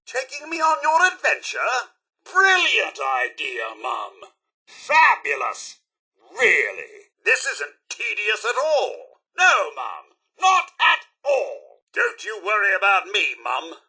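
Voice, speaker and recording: A man's voice: high voice, big pitch swings, normal speed, sharp tone, husky, exaggerated voice. A man voicing a fussy, loyal robot butler in a video game, with a British accent and a formal, theatrical, dryly polite delivery. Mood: sarcastic servility. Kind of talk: scripted video game character lines.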